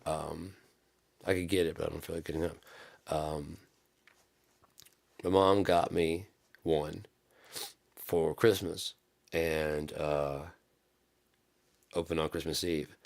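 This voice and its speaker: A man with a deep, bassy voice rambling loosely to his channel's viewers, with lots of restarts and swearing. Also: steady tone, slow, vocal fry, pauses often, dry recording. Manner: loose, profane, meandering with many restarts. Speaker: channel host. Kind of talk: rambling casual vlog.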